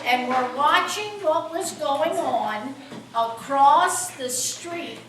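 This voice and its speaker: Slow, high-voiced woman storyteller in an echoey room. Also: animated, a bit bright, slight background noise. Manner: slow, simple phrasing addressed to children. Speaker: storyteller and host of a children's puppet show. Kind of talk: storytelling to young children. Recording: very echoey room, distant mic, music behind.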